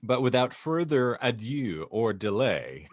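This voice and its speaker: A man's voice: mid-range voice, very animated, a little slow, no room sound. A man hosting a podcast with wry, mock-formal, wordy comedic banter. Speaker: podcast host.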